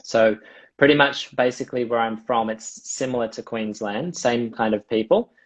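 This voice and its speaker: A man's voice: mid-range voice, moderate pace. A young Australian man, a hospitality alumnus, chatting easily as a guest with many fillers. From mid-north coast of New South Wales, Australia. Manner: easygoing, with frequent fillers. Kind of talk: casual interview over a live video call. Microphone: video call. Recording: dull lo-fi video call audio.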